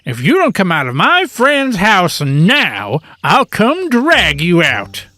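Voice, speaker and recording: Woman voicing a cheeky, lively little frog. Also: fairly low pitch, heavily acted. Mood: bold, threatening. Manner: taunting, deliberate. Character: small brave frog, cheeky and threatening.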